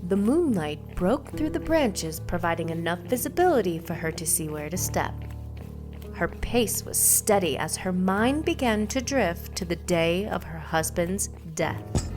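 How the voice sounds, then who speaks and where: Female narrator, scripted suspense tale, expressive intonation, music behind the voice. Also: on the low side, a little slow.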